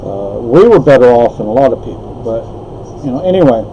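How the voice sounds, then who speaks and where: A man's voice: mid-range voice, very animated, brisk, muffled recording, a little hiss or hum. An older man whose first language is Spanish, telling a rambling personal story with frequent restarts and asides. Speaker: vlogger.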